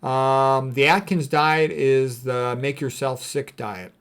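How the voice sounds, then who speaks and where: Male lecturer, medium pitch, blunt, opinionated, informal commentary, conversational asides. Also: fairly even intonation, slow pace, fairly bright tone, smooth. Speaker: medical lecturer, video host.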